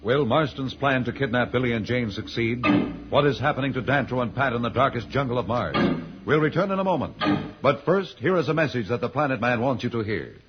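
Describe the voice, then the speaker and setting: Theatrical male radio announcer over loud music. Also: medium pitch, animated, brisk, highs cut off. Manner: theatrical, suspenseful. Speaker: announcer, narrator. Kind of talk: dramatic 1950s radio serial announcing.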